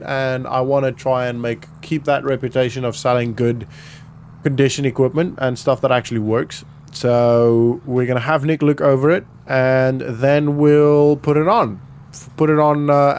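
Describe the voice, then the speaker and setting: A man giving casual, rambling vlog commentary while he plays a game, often correcting himself as he goes. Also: mid-range voice. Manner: rambling, self-correcting, chatty. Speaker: gaming video host.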